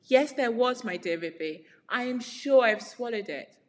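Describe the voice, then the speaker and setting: A woman's voice: a little high, expressive, measured pace, voice pushed up. A woman voicing an anxious, polite female dog at tea in a storybook, fretful and genteel. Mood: alarmed, moaning. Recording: very reverberant room.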